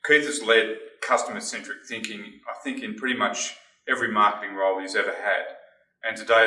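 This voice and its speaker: A man hosting a podcast, introducing his guest and asking questions in a halting way with frequent uhs. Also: on the low side, bright, forceful tone. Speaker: podcast host. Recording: roomy sound, mic set at a distance.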